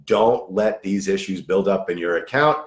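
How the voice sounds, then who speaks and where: A man in his fifties with a hoarse voice, a lawyer giving a direct news briefing and repeating key points for emphasis. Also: low voice, moderate pace, roomy sound. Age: 50s. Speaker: lawyer for online sellers.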